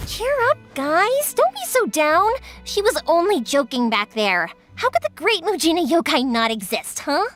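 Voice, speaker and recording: A woman's voice: high voice, very animated, normal speed, few pauses, a bit bright, soft background music. A woman voicing a cheerful young fireworks maker encouraging a group of children. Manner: upbeat, encouraging, playful.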